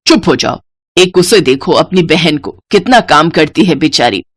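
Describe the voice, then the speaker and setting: Casting: female narrator as nagging middle-aged mother-in-law, gullible and bossy, lowered pitch, storybook dialogue. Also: lively intonation, moderate pace, breathy edge. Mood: scolding. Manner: bossy, nagging, easily fooled. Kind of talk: acted dialogue in a Hindi moral story.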